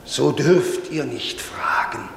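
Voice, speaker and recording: An actor playing a stern old priest who delivers a solemn, measured sermon in a 1950s German radio drama. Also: male voice, mid-range voice, expressive, gravelly. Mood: grave, stern. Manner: solemn, rhetorical, measured preaching with deliberate pauses. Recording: 1950s radio, echoing church reverb, background noise.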